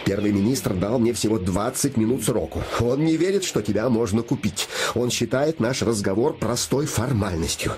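A man's voice: mid-range voice, animated, normal speed, few pauses, noisy background, close to an everyday voice. An actor playing a man's scheming living shadow that poses as a devoted friend, insinuating, solemn and emphatic, in a theatrical fairy-tale play. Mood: frantic pressure. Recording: music behind the voice.

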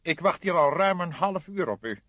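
A man's voice: high-pitched, expressive, moderate pace, a bit bright, dry recording, highs cut off, voice pushed up. A man voicing a stout, indignant notary in an audiobook, flustered and stammering with pompous formal wording. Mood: indignant reproach. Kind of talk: audiobook character voice.

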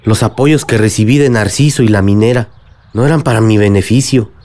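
A man's voice: fairly low pitch, fairly quick, a little mellow, natural voice. A man with a Mexican accent playing a middle-aged, remorseful village leader and father in a radio drama. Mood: remorseful, earnest.

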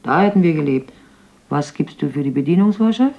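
A man's voice: fairly high pitch, expressive, a little fast. An actor playing a sly old village man who rambles and bargains shrewdly over flour in a radio play. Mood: haggling. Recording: dull lo-fi radio play recording.

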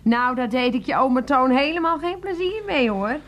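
A woman's voice: high-pitched, lively intonation, normal speed, fairly bright tone. An actress in a radio play, playing a middle-aged aunt who dotes on her nephew and fusses and scolds with many exclamations. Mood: doting, chatty. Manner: exclamatory, fussing, chiding.

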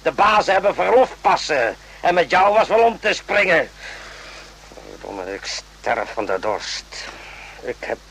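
An actor playing a stern, sardonic superior officer in a radio play, curt and commanding, with sarcastic repetitions. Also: male voice, high voice, sing-song, a little slow, gravelly, a bit bright, a little hiss or hum, exaggerated voice, rough recording. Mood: weary, scornful.

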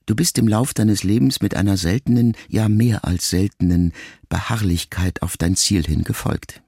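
A grieving grown son in a literary radio play. The man's voice sits very deep, with a gravelly fry in it. He speaks reflectively and intimately, setting out each thought with deliberate pauses. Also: darkish tone. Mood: reflective, tender. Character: grieving grown son, a writer, addressing his dying mother.

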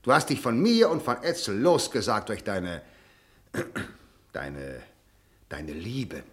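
Radio play role: stern, cold, legalistic husband, slightly low voice, formal and stiff. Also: male voice, big pitch swings, slow pace, a bit bright, husky, full character voice. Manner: formal, clipped, stiff phrasing. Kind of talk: German radio drama acting.

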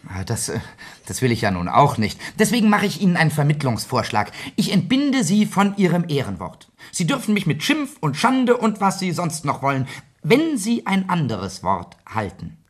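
An actor playing a cheeky, self-assured young writer in a radio play, with a sly, polite manner. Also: male voice, fairly high pitch, wildly swooping pitch, normal speed. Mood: teasing, self-assured. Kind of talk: radio play acting and narration.

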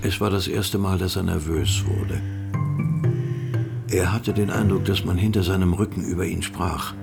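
An actor narrating a sombre crime story in a scripted literary style for a radio play. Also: male voice, sits low, steady tone, normal speed, vocal fry, loud music behind the voice. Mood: tense, sombre.

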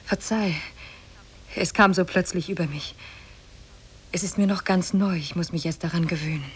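A sly captive woman in a vintage radio play. Her lowish voice is very breathy and husky, tender and coaxing as she feigns love to her captor. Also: moderate pace. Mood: tender, hesitant. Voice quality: breathy, very husky, often whispering. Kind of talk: 1950s German radio drama acting. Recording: old 1950s radio play on cassette.

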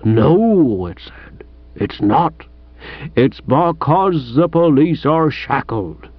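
A man voicing a ponderous, aggrieved village police sergeant in a comic audiobook, with a British accent and a dark, slow manner. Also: on the high side, big pitch swings, no echo, highs cut off, heavily acted. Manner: slow, dark, uneducated grammar.

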